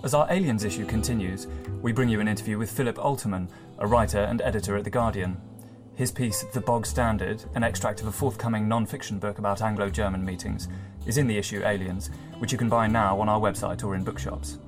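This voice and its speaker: Measured low-voiced male podcast host. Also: fairly even intonation, music in the background. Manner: smooth and measured, reading a prepared introduction. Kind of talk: scripted podcast introduction and interview.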